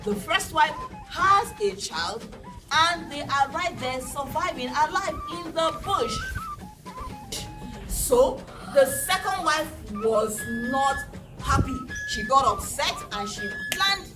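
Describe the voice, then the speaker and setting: A woman with a Nigerian accent telling a folktale to children in an animated, dramatic, interactive way. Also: high voice, takes it slowly. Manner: animated, dramatic, asks listeners questions. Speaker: children's storyteller and educator. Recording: distant mic, loud background music.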